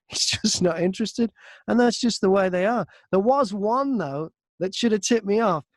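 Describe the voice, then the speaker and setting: A man chatting casually in an interview as a narrator, telling stories in an animated, talkative way from inside a wardrobe booth. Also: high-pitched, normal speed, no echo. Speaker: audiobook narrator and voice-over artist, interview guest.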